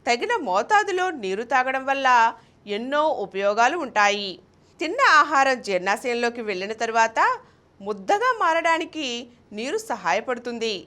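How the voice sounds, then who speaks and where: A woman presents a scripted health explainer. Her voice sits fairly high and moves up and down in a very lively way as she reads out each point.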